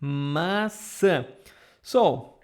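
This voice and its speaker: A woman's voice: low voice, expressive, very slow. A Brazilian woman with a Brazilian accent teaching Portuguese in a live online lesson, patiently repeating sounds for students to copy. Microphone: camera mic.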